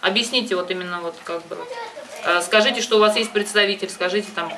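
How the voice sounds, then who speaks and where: A woman's voice: medium pitch, quick pace. A woman giving advice in a phone conversation, insistent and emphatic, often repeating herself for stress. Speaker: advisor and host of the phone conversation. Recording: distant microphone with loud background noise.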